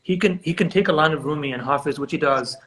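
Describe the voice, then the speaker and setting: A man's voice: fairly high pitch, fast talker, fairly bright tone, slight background noise. A man whose first language is Urdu, a guest in a remote interview, explaining with enthusiasm and frequent restarts. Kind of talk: conversational interview.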